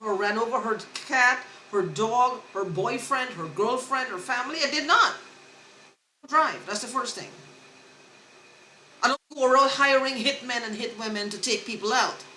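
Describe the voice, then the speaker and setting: Earnest, halting woman in an echoey room. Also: fairly high pitch, bright, forceful tone, slight background noise. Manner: halting, with many restarts and repeated words; earnest and insistent. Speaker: vlogger. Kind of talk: rambling personal monologue to camera.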